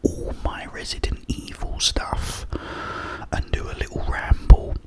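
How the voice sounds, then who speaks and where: Whispering Cockney man, slow and soft. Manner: soft unvoiced whisper throughout, rambling and chatty. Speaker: ASMR channel host. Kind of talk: whispered ASMR chat.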